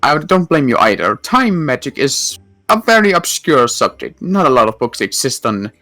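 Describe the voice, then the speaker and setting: Guy doing a seasoned water mage, formal and measured, pitch swoops around, dry sound. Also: very animated, sharp tone, dry recording. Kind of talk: roleplay chat.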